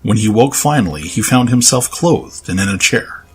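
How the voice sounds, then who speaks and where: Deep-voiced man narrating dramatic fiction. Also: animated, moderate pace. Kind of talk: dramatic scripted story narration. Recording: music under the voice.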